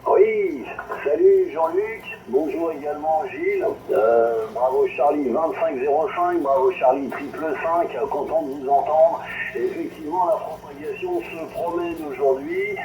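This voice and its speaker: A man's voice: a little high, lively intonation, measured pace, slight background noise. A man from France chatting casually as a caller over a CB radio transmission. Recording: distant, dull CB radio reception.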